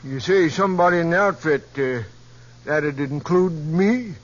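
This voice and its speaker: An American actor playing a nosy old cowhand sidekick with a folksy Western drawl, in a 1950s radio western. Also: male voice, on the high side, measured pace, close to an everyday voice. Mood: wondering. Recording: muffled, faintly hissy 1950s radio broadcast.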